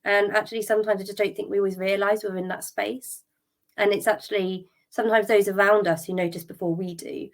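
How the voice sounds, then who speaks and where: A middle-aged woman talks into a podcast microphone, her voice a little croaky from a cold. She speaks candidly and sounds drained, thinking aloud with ums and small restarts. Her pitch sits in an ordinary middle range. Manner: tired and drained. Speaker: podcast host. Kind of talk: solo podcast talk.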